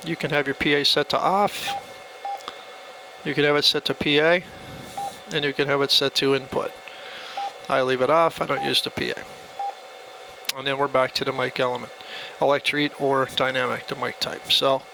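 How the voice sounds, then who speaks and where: A man giving a casual, plainspoken step-by-step tutorial as he demonstrates a device. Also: a little high. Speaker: product demonstrator. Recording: faint background noise.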